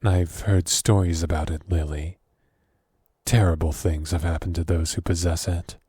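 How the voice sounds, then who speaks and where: A man playing a wary, evasive captive governor in an audio drama, guarded and hesitant, with a husky voice. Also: low voice, fairly even intonation, normal speed, dark tone, dry recording, clean studio sound. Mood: grave, wary. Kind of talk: audio drama roleplay acting.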